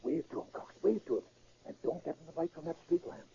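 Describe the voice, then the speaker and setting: An actor playing a bossy, confident safecracking gang leader in a 1930s radio drama. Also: male voice, fairly high pitch, expressive, fast, vocal fry, dark tone, a little hiss or hum. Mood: urgent. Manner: commanding, cocksure. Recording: muffled vintage 1930s radio recording.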